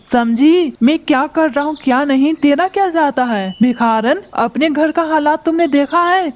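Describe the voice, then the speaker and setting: A woman's voice: high-pitched, darkish tone, slight background noise, close to an everyday voice. Cartoon crow dad, greedy and scheming, lectures his son with scorn, woman voices him. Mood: scornful. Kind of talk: cartoon voice acting.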